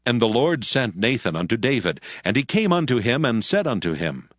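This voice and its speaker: A man's voice: medium pitch, very animated, brisk, no echo, studio quality. A man reading scripted narration for a dramatized Bible audiobook.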